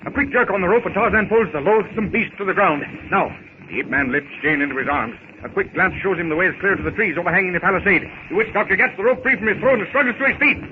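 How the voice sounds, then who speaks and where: A man's voice: sits high, fast. A man narrating a 1930s radio serial dramatically, in short, suspenseful, theatrical phrases. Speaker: announcer and narrator. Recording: scratchy, tinny 1930s radio recording.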